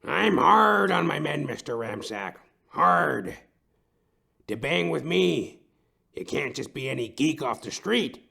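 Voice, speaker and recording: Older tycoon for comic detective audio play: pompous, florid, slow, wildly swooping pitch. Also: male voice, expressive, vocal fry, sharp tone, husky, exaggerated voice. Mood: menacing boast. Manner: pompous, florid, hesitant pauses, explosive outbursts. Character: blustering, pompous older male stump-company tycoon and client. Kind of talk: audio detective comedy-drama acting.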